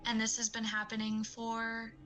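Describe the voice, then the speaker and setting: A woman playing a polite, measured eye doctor in an audio drama, professional and tactful. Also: fairly high pitch, monotone, a bit bright, faint music behind, natural voice, rough recording. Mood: measured, clinical.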